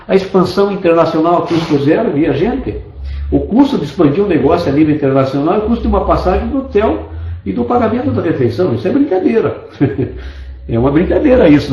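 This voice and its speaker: A man's voice: a little high, dark tone, roomy sound, highs cut off. A man from Brazil giving an online business presentation as a network marketing presenter, persuasive and enthusiastic, stacking lists of points. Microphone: desktop computer mic.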